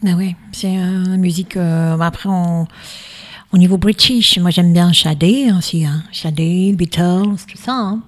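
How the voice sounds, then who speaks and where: A woman's voice: on the low side, steady tone, a little slow. A woman guest in a radio interview, born in Morocco, chatting briefly and casually. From born in Morocco, of Sicilian descent.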